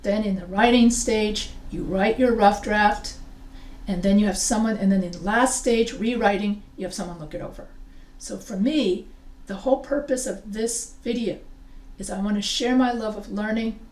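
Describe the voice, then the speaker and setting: A woman's voice: medium pitch, a little mellow. A middle-aged woman, a professor of English composition, giving an unscripted lesson to her webcam and gesturing a lot as she explains. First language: not French. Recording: echoey room, a bit far off.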